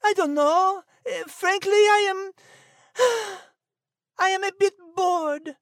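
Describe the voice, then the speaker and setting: A woman's voice: very high-pitched, slow, dry recording, studio quality, full character voice. A woman voicing a chic female cat with a French accent, breezy in manner.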